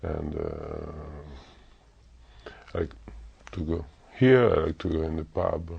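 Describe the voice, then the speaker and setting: This is a deep-voiced Italian man whose very gravelly, raspy voice sounds dark. He pauses often to search for words in a speaking test. The recording is dull and lo-fi. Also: huge pitch swings. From Italy. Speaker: exam candidate. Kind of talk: speaking exam answers.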